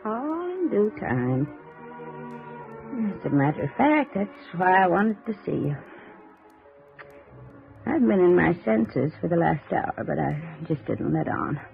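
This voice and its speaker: An actress playing a dying old aunt in a 1950s radio drama, folksy, chatty and chiding. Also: female voice, fairly low pitch, very animated, a little slow, gravelly, long pauses, full character voice. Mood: matter-of-fact. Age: older. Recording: tinny old radio recording with music.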